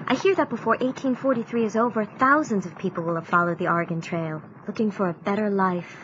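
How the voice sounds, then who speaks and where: An actress playing a gentle, devoted preacher's wife, speaking softly. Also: female voice, medium pitch, fast, slight background noise, close to an everyday voice. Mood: warm. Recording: dull lo-fi audio with clear music.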